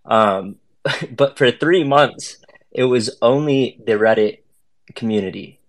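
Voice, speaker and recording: A man's voice: medium pitch, takes it slowly. A man speaking as a guest on an online voice chat, explaining and telling stories in a casual, slangy way. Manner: slangy, informal. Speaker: guest artist.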